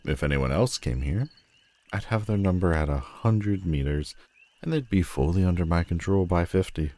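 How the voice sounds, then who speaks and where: A man playing a menacing, world-weary telepathic supervillain in an audio roleplay, theatrical and mocking, with deliberate dramatic pauses. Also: sits low, warm tone. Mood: wry, curious. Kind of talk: scripted audio roleplay addressed to the listener.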